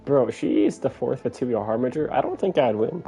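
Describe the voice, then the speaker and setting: A man streaming a game, thinking out loud in a rambling way full of "like" and "you know". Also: mid-range voice, animated, normal speed, vocal fry, music in the background, low-quality recording. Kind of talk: casual let's-play commentary.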